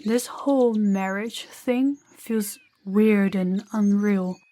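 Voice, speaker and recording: A woman playing a gentle, candid young princess in an intimate audio roleplay, soft-spoken and confiding with hesitant pauses. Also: mid-range voice, close to an everyday voice. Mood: earnest, uneasy.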